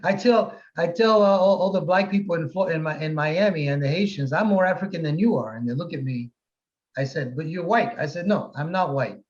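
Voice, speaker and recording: A man from Morocco hosting a casual interview, talking quickly and repeating words as he searches for them. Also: fairly high pitch. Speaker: host. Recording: dull lo-fi sound.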